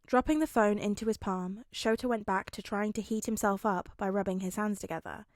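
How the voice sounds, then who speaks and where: A woman's voice: mid-range voice, brisk, dry recording, clean studio sound. A woman narrating a scripted story as an audio fiction reader.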